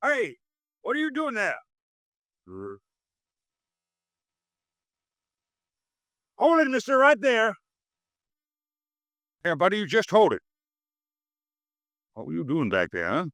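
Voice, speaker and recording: An actor playing a tough, burly truck driver in a 1950s American radio drama, gruff and threatening with slangy speech. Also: male voice, high-pitched, sing-song, a little slow, long pauses, fairly bright tone, no echo, clean studio sound, heavily acted. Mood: alarmed.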